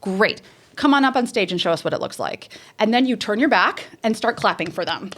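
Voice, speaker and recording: Woman guest, lower-toned, chatty storyteller, loose and full of fillers. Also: brisk. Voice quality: says she has a lower voice. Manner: lively, chatty, many fillers and restarts. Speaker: theater professor, guest. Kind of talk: casual interview storytelling.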